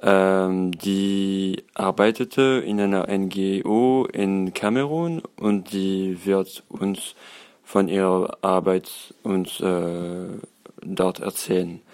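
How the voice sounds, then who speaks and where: Interviewer: German-accented man, low voice, slow and hesitant, informal. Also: steady tone, darkish tone, dry recording. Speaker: interviewer, host.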